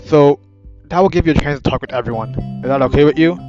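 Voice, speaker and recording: A man voicing a schoolteacher in a visual novel, speaking to the class in a loud, announcing tone. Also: a little high, fast, dull audio, over music, natural voice. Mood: upbeat.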